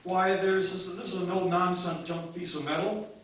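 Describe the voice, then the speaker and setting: A man's voice: sits high, fairly even intonation, moderate pace, lightly acted. An actor playing a confident male junk salesman with a reassuring sales patter, in a live radio drama recreation. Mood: persuasive. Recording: echoey hall, far mic, muffled.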